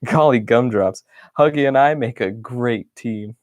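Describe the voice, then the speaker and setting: A man's voice: medium pitch, slow, no room sound, natural voice. A man voicing a cheerful, happy-go-lucky wooden stick who reads his diary with bubbly enthusiasm. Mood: excited.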